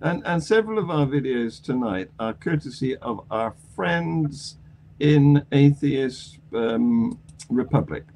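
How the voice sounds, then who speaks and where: Slow, animated older man hosting. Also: mid-range voice. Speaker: panel show host. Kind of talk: hosting a panel discussion of news. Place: home attic room on a video call.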